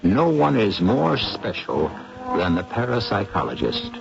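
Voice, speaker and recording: A male radio mystery host narrates with deliberate, portentous drama. His gravelly voice swings widely in pitch. The recording sounds dull and old. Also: sing-song, over music.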